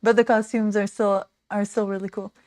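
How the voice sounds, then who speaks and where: A woman in her thirties hosting a casual makeover livestream, rambling and excitable, with lots of "like" and "um". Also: medium pitch, normal speed, no echo. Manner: rambling, excitable, many fillers like "like" and "um". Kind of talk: casual chatty livestream talk. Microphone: small desk mic.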